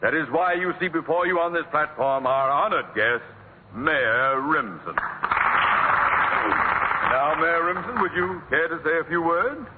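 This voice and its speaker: A pompous school principal making a formal speech. His pitch rises high and animated, and a live audience murmurs behind a tinny old broadcast. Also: measured pace, a loud crowd, very noisy. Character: pompous man school principal, stuffy and officious. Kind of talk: 1940s radio sitcom acting.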